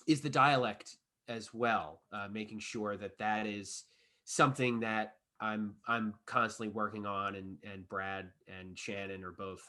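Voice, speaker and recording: A man's voice: fairly low pitch, sharp tone. A man, a stage actor, chatting casually in an interview, choosing and correcting his words with care.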